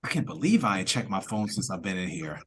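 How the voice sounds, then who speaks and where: Woman playing confused man, very swingy pitch. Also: very animated, fast. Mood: confused, uneasy. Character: confused, nervous man stranded in a storm. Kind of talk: audio play read-through. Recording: video call recording.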